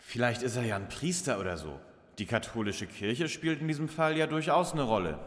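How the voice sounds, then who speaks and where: A man's voice: medium pitch, animated, brisk, lightly acted. A man plays an articulate, wry young detective leader in a parody audio drama. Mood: focused. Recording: long reverb, music behind.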